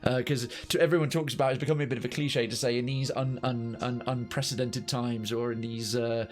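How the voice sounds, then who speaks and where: A man's voice: mid-range voice, fairly even intonation, fairly quick, faint music behind. A man hosting a game livestream, chatting casually with his viewers and repeating phrases for emphasis.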